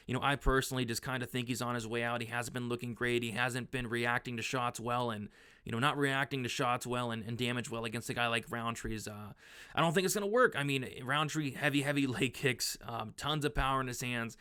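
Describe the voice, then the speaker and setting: Young male betting analyst, slightly hoarse, rambling casual delivery, smooth mid-pitched voice. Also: a little fast, bright, forceful tone. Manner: rambling, filler-heavy, frequent restarts. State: hoarse, losing his voice.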